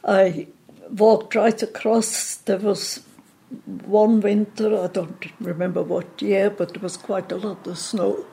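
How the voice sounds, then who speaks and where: Slow, gravelly Swiss woman in her eighties. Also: medium pitch, level tone, rough recording. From Switzerland. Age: 80s. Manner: gentle, hesitant, many pauses and restarts. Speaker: interview guest. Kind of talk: casual reminiscing.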